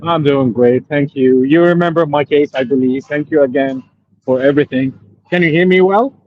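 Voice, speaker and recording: Man from Syria, caller, halting, muffled lo-fi sound, dry. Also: a little high, dry recording. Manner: halting, many fillers. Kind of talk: phone-in question.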